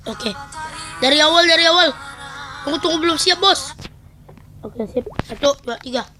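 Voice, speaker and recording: A young woman, hoarse today, chatting playfully and quickly to her viewers as a beatbox vlogger. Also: sits high, sharp tone, faint music behind. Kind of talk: casual vlog chat.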